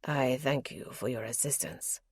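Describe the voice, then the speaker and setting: A woman's voice: a bass voice, unhurried, a little mellow, slightly rough, no echo, studio quality, voice pushed down, heavily acted. A woman voicing a reserved young nobleman who speaks in a clipped, formal, guarded way. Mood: grave. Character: grave reserved nobleman, young adult man.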